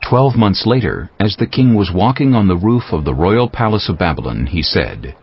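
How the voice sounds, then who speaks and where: A man's voice: low voice, animated, normal speed, slightly rough. A man narrating scripture as a scripted dramatized audio production. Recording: dull lo-fi audio with faint music.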